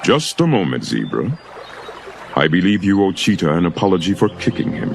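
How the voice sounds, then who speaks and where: A man's voice: sits low, wildly swooping pitch, normal speed, vocal fry, heavily acted. A man voicing a wise, powerful lion king in a storybook, stately and authoritative. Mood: firm. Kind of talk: storybook character acting. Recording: music behind.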